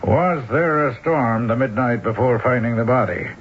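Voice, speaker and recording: A man acts a grumbling, emphatic police inspector from a radio mystery. His voice is medium in pitch and blunt, often exasperated. The old recording is dull and slightly hissy. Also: moderate pace, lightly acted. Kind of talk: 1970s radio mystery acting. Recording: muffled old radio recording, faint hiss.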